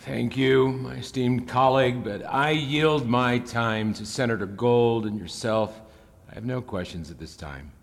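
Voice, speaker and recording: A man playing a courteous senator at a hearing in a podcast drama, formal and measured. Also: mid-range voice, gravelly. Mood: formal, reserved. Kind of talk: naturalistic fiction podcast acting. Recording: long echo of a large hearing hall.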